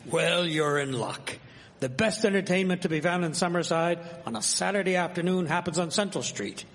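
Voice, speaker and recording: A man's voice: high-pitched, normal speed, a bit bright. Man playing a brash, rowdy townsman in a ringing hall. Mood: brash, helpful. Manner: boisterous, folksy, blunt. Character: rowdy brash townsman hawking street-fight gambling and drink. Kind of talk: live radio drama acting. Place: performing arts centre stage. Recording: long hall reverb of live stage.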